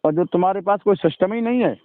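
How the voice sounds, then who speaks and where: Higher-voiced man lecturing over the phone. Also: fast, no echo. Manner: didactic, repeatedly seeking agreement. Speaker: religious storyteller. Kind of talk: informal phone conversation. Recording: narrow-band phone call recording.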